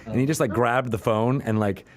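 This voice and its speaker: A man's voice: mid-range voice, normal speed, crowd noise. A man hosting a podcast in a casual chat, joking and teasing as he talks. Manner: jokey, teasing. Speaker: podcast host.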